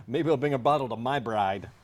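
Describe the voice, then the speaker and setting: An actor playing an affable, teasing husband who gripes about work in a staged comic play. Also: male voice, medium pitch, animated, normal speed, close to an everyday voice. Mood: playful. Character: affable, teasing working husband griping about his boss.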